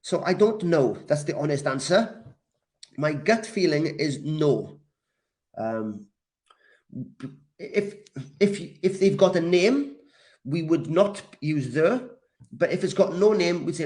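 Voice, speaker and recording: An English teacher leads a live online lesson at a very unhurried pace. He is a man from Wales with a voice pitched a little higher than most men's. His delivery is deliberate and encouraging, and he repeats key words so learners can follow. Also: animated, darkish tone. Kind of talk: live grammar lesson, reacting to comments.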